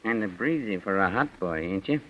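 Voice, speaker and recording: An actor playing a taunting small-town deputy with folksy, mocking speech, in a vintage radio detective drama. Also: male voice, on the low side, lively intonation, a little fast. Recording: dull vintage radio recording.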